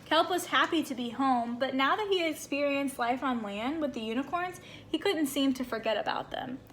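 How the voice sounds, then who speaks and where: A woman reading a picture book aloud to children in an expressive, animated way, acting out the small moments. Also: high-pitched, normal speed, slight background noise. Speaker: storybook reader for children.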